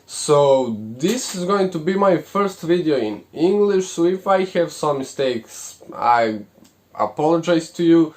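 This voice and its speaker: Male host, polite and careful, slightly high voice. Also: lively intonation, a little slow, darkish tone. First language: Serbian. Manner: polite, apologetic, carefully paced. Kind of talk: video introduction.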